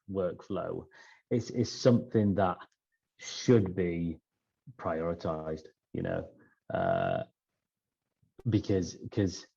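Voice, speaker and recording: Man, very low soft-edged voice, halting conversational delivery, podcast discussion, slightly dull recording. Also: gravelly, breathy edge. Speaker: podcast regular discussing pharmacy business.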